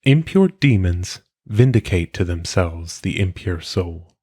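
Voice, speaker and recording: Deep male narrator reading old maxims, solemn and measured, super dry close sound. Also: animated, dark tone, dry recording. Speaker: reader. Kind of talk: solemn audiobook-style reading of philosophical maxims.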